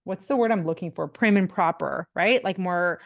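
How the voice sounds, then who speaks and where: A woman's voice: on the low side, normal speed, creaky. A woman in her forties from India, a pelvic physiotherapist talking as a podcast guest in a chatty way, full of fillers and restarts. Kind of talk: casual interview talk.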